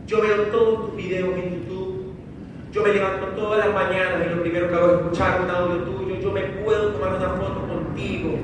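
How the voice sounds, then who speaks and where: A man's voice: sits high, level tone, fairly quick. A man with a Venezuelan accent giving an emphatic motivational speech to a live audience, repeating phrases for effect. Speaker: motivational speaker. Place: large hall with audience, crowd noise behind. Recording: echoey hall, distant mic, noisy crowd.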